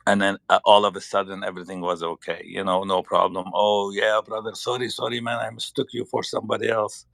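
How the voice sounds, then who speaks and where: A man with a Lebanese accent telling a story in casual talk, halting and searching for words. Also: fairly low pitch, little pitch movement, vocal fry, a bit bright, no echo. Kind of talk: casual anecdote on a panel.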